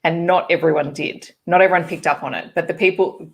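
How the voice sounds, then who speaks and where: A woman with a husky voice chatting casually as co-host of a book readalong. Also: on the low side, steady tone, a little fast. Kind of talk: casual, conversational book chat.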